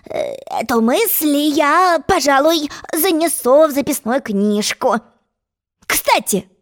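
A woman's voice: high-pitched, lively intonation, takes it slowly, pitched above the performer's own voice. A woman voicing a blustering, scatterbrained king in a storybook, with pompous exclamations. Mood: indifferent, musing.

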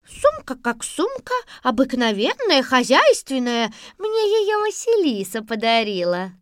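A woman's voice: very animated, a little slow, no echo, studio quality. A woman voicing a sly, folksy old woman in a storybook reading. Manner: folksy, cunning, quavering high. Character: sly kindly old woman, a disguised sorceress.

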